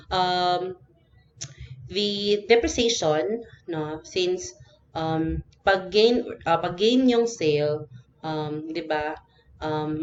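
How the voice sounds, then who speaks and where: A woman with a Filipino accent and a low voice teaches like an instructor walking through a worked example. She speaks hesitantly, often adding little check-in tags. The recording is dull and lo-fi with faint background noise. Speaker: accounting instructor. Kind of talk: informal recorded lecture explaining worked examples.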